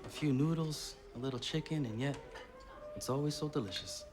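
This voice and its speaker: A man's voice: on the high side, fairly quick, close to an everyday voice. A man playing an amiable, slightly awkward suitor with hesitant delivery in a 1920s period TV drama. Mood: flirtatious, amiable. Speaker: actor in a TV detective series. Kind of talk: naturalistic TV period drama acting. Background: background music.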